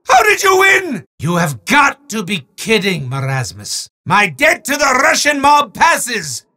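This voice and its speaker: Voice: mid-range voice, wildly swooping pitch, measured pace, heavily acted. A voice actor playing a petty, hammy villainous sorcerer who wagers for souls, announcing game events in a melodramatic, bombastic way. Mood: sore loser. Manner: melodramatic, bombastic shouting with sweeping swoops.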